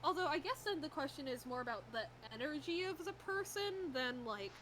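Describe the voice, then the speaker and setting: A woman's voice: high-pitched, lively intonation, fairly quick, fairly bright tone. A young woman chatting casually with friends on a stream, rambling with lots of "like" and frequent laughs. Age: young adult. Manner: rambling, many "like"s, laughs often. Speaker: streamer host. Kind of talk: casual group chat. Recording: noisy stream audio.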